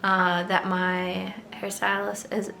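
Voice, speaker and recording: A woman vlogger chatting casually about her knitting, her voice still a little hoarse as it recovers, rambling with fillers and light laughs. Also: mid-range voice, monotone, slow, bright, forceful tone, echoey room, slight background noise. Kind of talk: casual chat to camera.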